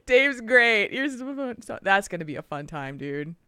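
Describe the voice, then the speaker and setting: Casting: bright, lively woman co-host, giggly, playful, dry close sound. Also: mid-range voice, unhurried, clear, resonant tone, dry recording. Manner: giggly, playful, laughs often. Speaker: podcast co-host. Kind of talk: casual live-stream chat.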